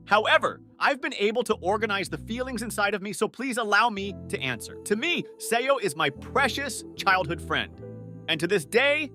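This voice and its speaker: Manga dub lead: male actor as earnest lovesick young man, bright and high, anxious inner monologue, music behind. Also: big-room sound, heavily acted. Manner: earnest, self-doubting inner monologue with startled outbursts. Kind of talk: animated comic dub with first-person narration. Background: background music.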